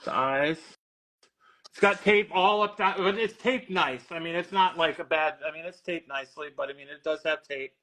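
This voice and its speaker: Bright, high-voiced man selling comics. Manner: easygoing, quick with prices, laughs often. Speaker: comic book seller on a live sale. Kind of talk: casual live sales chat.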